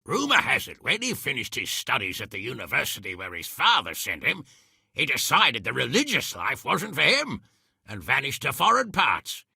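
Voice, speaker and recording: Game lord: middle-aged man, gruff, commanding, forceful and sharp, with big swings in pitch. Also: huge pitch swings, normal speed, no echo, exaggerated voice. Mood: gossipy, amused. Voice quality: gravelly, husky. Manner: commanding, colourfully cursing, hearty. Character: blustering, shrewd medieval lord, gruff and quick-tempered. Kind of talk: video game character acting.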